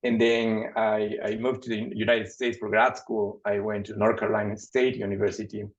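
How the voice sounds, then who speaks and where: A man from Ecuador, an assistant professor, introducing himself on a webinar panel, halting and often repeating his words over a video call. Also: fairly low pitch, level tone. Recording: dull lo-fi video call audio.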